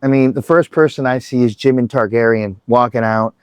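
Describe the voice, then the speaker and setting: A man's voice: medium pitch, fairly even intonation, brisk, clear, resonant tone, no room sound. A man telling a concert story in a casual, rambling vlog chat, full of restarts and asides. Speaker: vlogger.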